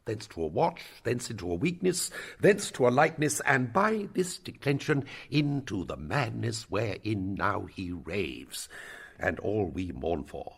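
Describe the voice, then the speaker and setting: A male actor plays an elderly royal counsellor in a Shakespearean drama, self-important and long-winded. His gravelly, husky voice rises and falls dramatically as he stretches out his words to make a point. He is an eager schemer who savours his own speech. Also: on the low side, sing-song, heavily acted. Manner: pompous, rambling, theatrical delivery with drawn-out words. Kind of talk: Shakespearean stage-play acting.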